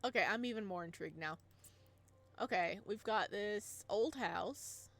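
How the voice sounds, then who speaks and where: A woman giving casual let's play commentary as a gaming host, with excitable, gushing reactions. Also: medium pitch, a little slow, soft background music.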